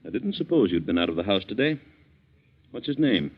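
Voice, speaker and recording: A man's voice: on the low side, very animated, brisk, breathy edge. An actor playing a wealthy, stern but kindly businessman in a 1940s radio drama, speaking in an emphatic, formal way. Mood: suspicious. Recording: muffled vintage 1940s radio recording.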